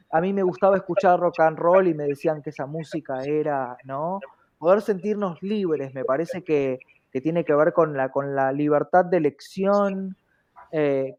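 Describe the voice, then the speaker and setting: A woman's voice: deep voice, a little mellow, lo-fi sound. A woman with an Argentine accent explaining things conversationally as an interview guest, reflective, often checking in with rhetorical questions.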